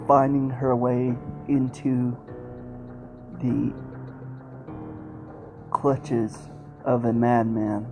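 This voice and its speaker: Male podcast host, dark soft tone, halting delivery, slow introduction, quiet guitar music. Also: medium pitch. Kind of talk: introduction to an old-time radio episode.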